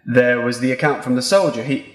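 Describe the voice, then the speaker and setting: Audio drama underling, male actor: eager, flustered, medium pitch, roomy sound. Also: fairly quick, lightly acted. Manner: flustered, hesitant.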